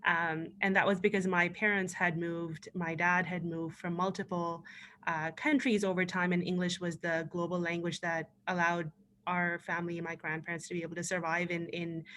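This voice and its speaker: Woman from pakistan, urdu native, bright clear voice, lowish pitch, lively panel talk. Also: moderate pace, a little hiss or hum. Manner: animated, reflective, with fillers. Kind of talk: panel discussion over a video call.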